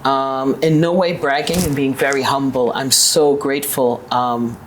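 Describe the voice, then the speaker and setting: A woman's voice: fairly even intonation, a little slow, poor audio. Woman, fifties, New York accent, assertive interview guest, faint room hum behind. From New York, USA. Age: 50s. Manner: assertive, upbeat, with sudden high pitch lifts. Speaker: guest, managing director. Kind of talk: conversational interview storytelling.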